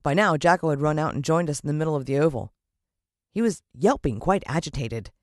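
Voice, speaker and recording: A woman with an American accent reading a children's story expressively, with dramatic emphasis and deliberate pauses. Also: deep voice, animated, no room sound, studio quality. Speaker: audiobook narrator.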